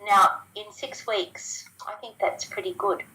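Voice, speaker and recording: A woman's voice: medium pitch, fairly even intonation, a little slow, pushed and bright, rough recording. An Australian woman talking casually as an interview guest, chatty, with frequent ums and restarts. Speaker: guest sharing business results.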